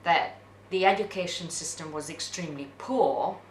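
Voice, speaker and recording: A middle-aged woman speaks in a deep register, in an echoey room. She talks candidly and unhurriedly, searching for words and repeating some as she goes. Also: normal speed. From outside the UK, non-English-speaking country. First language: not English. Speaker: documentary subject, interpreter. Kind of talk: candid reflective conversation. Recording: echoey room with background hiss.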